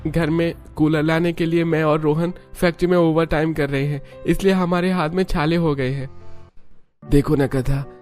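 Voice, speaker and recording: A man's voice: on the high side, warm tone, close to an everyday voice. A man playing a tired, hard-working young husband in a cartoon story, plaintive and subdued.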